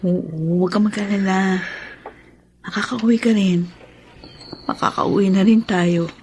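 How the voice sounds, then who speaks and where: A woman playing a caring older sister in a Filipino radio drama, gently reassuring a sick sibling. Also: mid-range voice, fairly even intonation, unhurried, natural voice. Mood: gentle, reassuring. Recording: faint background noise.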